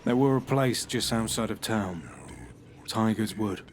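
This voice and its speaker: A man's voice: fairly low pitch, slight background noise, natural voice. A man playing a shaken, unwell man confessing. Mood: haunted. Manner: halting, hushed, with nervous laughs. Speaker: man questioned by police. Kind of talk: audio horror drama.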